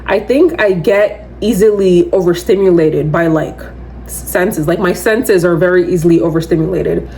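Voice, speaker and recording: A woman in her twenties with a loud voice, hosting a podcast in casual, rambling solo chat, full of "like" and animated asides. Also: on the low side, moderate pace, slight background noise, poor audio. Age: 20s. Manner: chatty, rambling, heavy use of "like"; animated, slips into quoting others. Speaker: podcast host.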